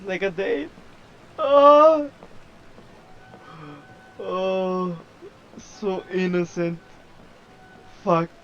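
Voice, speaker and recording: A woman's voice: medium pitch, expressive, drawn-out words, long pauses, fairly bright tone, lo-fi sound, a little hiss or hum. A woman streaming a game and reacting with crude, mocking quips. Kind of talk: live gameplay reactions.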